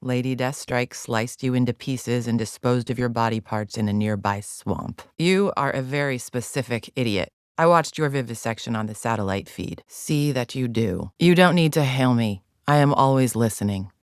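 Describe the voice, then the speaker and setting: A woman's voice: a bass voice, moderate pace, clear, resonant tone, no room sound, clean studio sound. An actress playing a haughty alien gladiator in a video game, formal, clipped and menacing as she guides the player with open contempt. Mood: scornful, sarcastic. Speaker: mission guide character.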